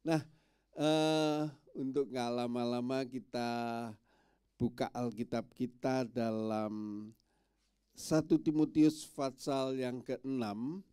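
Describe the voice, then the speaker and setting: Male pastor, congested and nasal, slow unhurried sermon delivery, smooth clear tone, dry close sound. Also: medium pitch, steady tone, dark tone, no room sound. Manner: slow, friendly, many filler yeses. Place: church.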